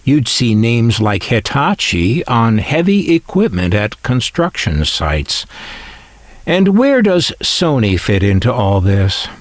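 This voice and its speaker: An older American man narrating a scripted collector's video in a wry, measured, self-deprecating manner. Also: medium pitch, big pitch swings, dry recording. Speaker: host of a vintage radio collecting video.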